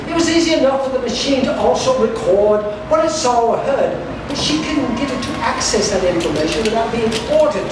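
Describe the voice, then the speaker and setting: A person reading a steampunk fairy tale aloud at a live event, now and then stumbling over and repeating words. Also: medium pitch. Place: live event space at a carnival. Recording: very echoey, noisy room, distant mic.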